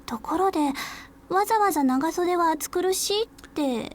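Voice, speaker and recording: A high, girlish voice plays a quirky Japanese schoolgirl in a visual novel. She is polite and gentle, with a soft teasing streak, and speaks as a native Japanese. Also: lively intonation, fairly quick. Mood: politely teasing.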